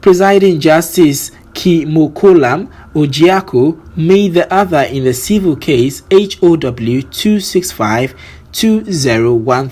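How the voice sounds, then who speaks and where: A man with a Nigerian accent reads scripted news as a presenter, in a formal, measured delivery. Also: a little high, darkish tone.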